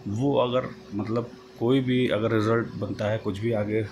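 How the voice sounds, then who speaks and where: A man giving an informal explanatory update, halting and rephrasing as he goes, mixing in English terms. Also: fairly low pitch, level tone. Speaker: host of a job-news channel. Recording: noisy home-made voice-over, background noise.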